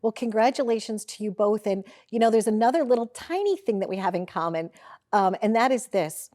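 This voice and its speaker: A woman's voice: mid-range voice, animated, a little fast, a little mellow. A woman from St. Louis hosting a talk show, asking her guests questions in a gushing, effusive way. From St. Louis, Missouri. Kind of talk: casual interview.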